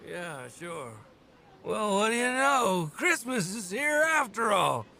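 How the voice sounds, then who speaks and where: Guy doing a down and out park bum, old radio drama, high voice that swings all over, grateful. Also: sing-song, takes it slowly, creaky, sharp tone, exaggerated voice. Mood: surprised, grateful. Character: down-and-out man in the park, surprised and grateful.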